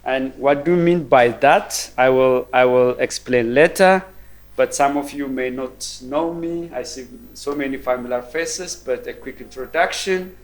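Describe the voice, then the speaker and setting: A man in his forties from Ethiopia, giving a conference presentation in a measured, thoughtful way with frequent ums and repeated words. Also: mid-range voice. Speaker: keynote speaker. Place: university roundtable event room.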